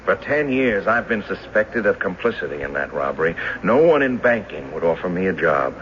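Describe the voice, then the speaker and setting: A determined American former banker who has lived under suspicion and wants justice. His voice sits very low, and his speech is formal, earnest and deliberate. It is an old radio drama recording, muffled with faint noise. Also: very animated, a bit bright. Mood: firm, aggrieved. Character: determined, long-suspected male former banker demanding justice. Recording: dull noisy vintage radio drama recording.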